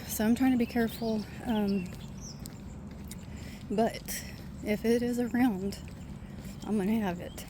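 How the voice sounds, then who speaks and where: Soft-spoken woman chatting on a walk. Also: a little high, steady tone, unhurried, pauses often, background noise. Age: adult with a son. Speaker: vlogger. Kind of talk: casual walking vlog chat. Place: outdoors in a park.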